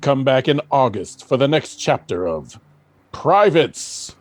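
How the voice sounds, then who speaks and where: A man's voice: mid-range voice, sing-song, slow. A man reading scripted narration as the narrator of a radio drama.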